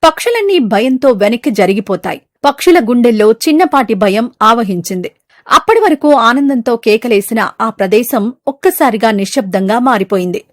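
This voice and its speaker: A woman's voice: fairly high pitch, expressive, normal speed, dry recording, clean studio sound. A woman narrating a scripted moral story for children as the storyteller.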